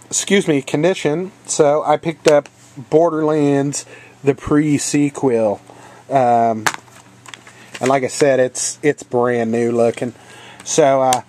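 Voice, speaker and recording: A man's voice: medium pitch, a little mellow, a little hiss or hum. Congested man rambling through a vlog. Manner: rambling, halting, many ums and uhs. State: congested with a head cold; coughing now and then. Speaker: channel host. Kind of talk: casual video game haul vlog.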